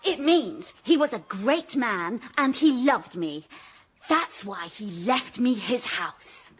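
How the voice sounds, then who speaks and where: A woman's voice: fairly high pitch, expressive, slow pace, a little hiss or hum. An actress playing a pushy, self-satisfied woman who needles with breezy confidence in a radio comedy. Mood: needling. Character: pushy, self-assured woman, the late father's companion.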